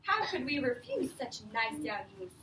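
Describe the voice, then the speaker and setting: High, animated woman teacher in echoey room. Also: normal speed. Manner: simple explanatory phrasing, frequent prompting questions. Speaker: elementary school teacher. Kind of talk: classroom read-aloud with questions to children. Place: echoey classroom. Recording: echoey room, distant mic, faint noise.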